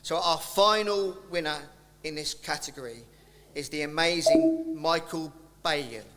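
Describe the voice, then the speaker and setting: A man's voice: on the high side, sing-song, a little slow, fairly bright tone, soft background music. A man presenting at a live awards ceremony, heaping effusive praise on the winners. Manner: effusive praise, occasional restarts. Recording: reverberant hall, faint ambience.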